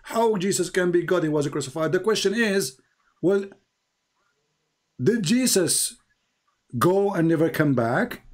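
A man's voice: lively intonation, unhurried, pauses often. A man whose first language is Arabic, hosting and debating a caller, emphatic and repeating phrases for stress. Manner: emphatic, rises high when pressing questions. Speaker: host.

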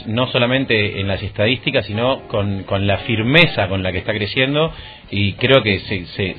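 A man with a Rioplatense Argentine accent hosting a radio interview and adding political commentary. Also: fairly low pitch. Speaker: radio host. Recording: tinny phone-like sound over music.

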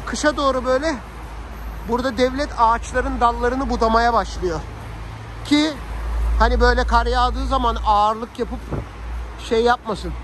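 Male vlogger, very high voice, casual and colloquial, handheld phone outdoors with clear street noise. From Turkey. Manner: colloquial, slangy, animated asides to family and viewers. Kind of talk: casual chatty vlog narration. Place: outdoors on a snowy city street. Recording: noisy outdoor street with traffic.

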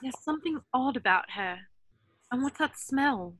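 A woman's voice: sits high, lively intonation, moderate pace, a bit bright, husky, exaggerated voice, rough recording. A young woman reading an anxious, watchful teenage girl witch in an amateur table read, sounding wary and concerned. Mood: uneasy. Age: young adult. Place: indoors on a group video call. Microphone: video call.

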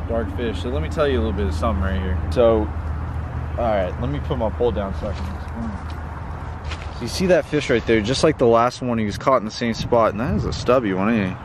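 A young man talks in a folksy, enthusiastic vlog style. His voice sits at an average pitch. He is recorded outdoors on a camera a few feet away, with plainly audible wind and ambient noise around him. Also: brisk. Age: young adult. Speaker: fishing video host. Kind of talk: casual instructional fishing vlog. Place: outdoors on a pond bank.

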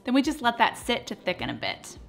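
A woman's voice: fairly high pitch, wildly swooping pitch, fairly quick, gravelly. Woman in her thirties, cooking host, upbeat recipe narration, playful asides, home kitchen, faint music behind.

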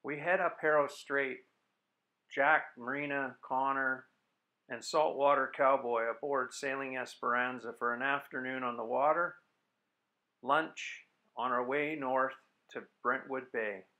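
A man narrating a voice-over for his sailing vlog in a calm, easygoing way. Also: medium pitch, measured pace.

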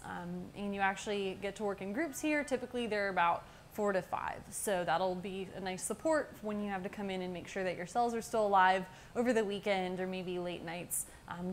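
A young woman giving an informal, upbeat and explanatory guided campus tour as a student guide. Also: medium pitch. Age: young adult. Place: indoor university labs and hallway. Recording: steady clear background room noise.

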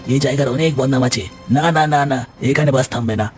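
A man's voice: medium pitch, a little fast, a little mellow, faint music behind, voice pushed up, close to an everyday voice. A man voicing an alarmed, superstitious bus conductor in a cartoon story, insistent, scolding and repetitive.

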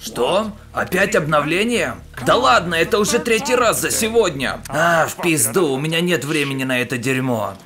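A man's voice: a little high, animated, music in the background, lightly acted. A man voicing a weary, grumpy middle-aged soldier hero in a parody cartoon dub, complaining and cursing. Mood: exasperated. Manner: slow, sweary, complaining.

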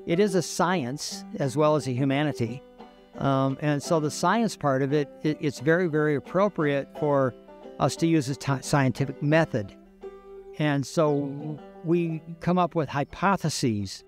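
An older man gives measured explanations as an archaeologist in a documentary interview. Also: on the high side. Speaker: archaeologist, stone-tool analyst. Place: outdoors, open yard at dig site. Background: music.

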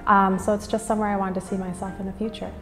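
A young American woman speaks as a student in an interview testimonial. Her pitch stays fairly even. The room rings with reverb, and music plays lightly underneath. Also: very fast. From Minnesota, United States. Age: young adult. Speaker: business school student giving a testimonial. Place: indoors against a plain wall and banner. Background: background music.

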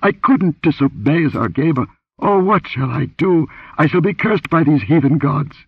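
A man's voice: fairly low pitch, lively intonation, brisk, husky, no room sound. A man voicing a terrified young dancing girl who pleads tearfully and breathlessly in a pulp adventure story. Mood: pleading, terrified. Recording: dull lo-fi audio.

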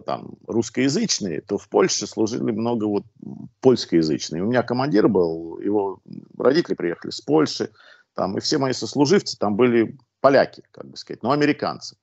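A man's voice: lowish voice, creaky, a little mellow, no room sound. A man in his sixties, a guest on a video call, telling anecdotes in a chatty way with restarts, through a wired earphone mic at home. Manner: chatty anecdotal storyteller, restarts and filler sounds. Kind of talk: casual storytelling over a video call. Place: living room at home, indoors. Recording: dull, dry lo-fi video-call audio.

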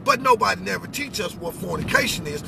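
Middle aged american guy, pretty high voice, teaching like casual preaching, recorded in a noisy car. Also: animated, fast talker, creaky. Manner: emphatic, instructive, checks listener with questions. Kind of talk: informal spiritual talk. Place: inside a vehicle cab. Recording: very noisy vehicle, road noise. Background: car and outdoor noise.

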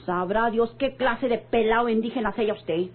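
Prickly, husky young Mexican country woman. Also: fairly high pitch, moderate pace. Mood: tart, rebuffing. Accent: rural Mexican. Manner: tart, curt. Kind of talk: old-time radio drama acting. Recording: muffled old radio recording.

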